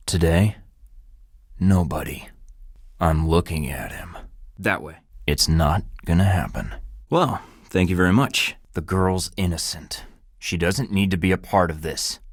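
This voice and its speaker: A deep-voiced, cocky American spy. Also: male voice, no echo, clean studio sound. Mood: threatening. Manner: wisecracking, flirty and menacing by turns. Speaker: game protagonist. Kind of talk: video game voice acting.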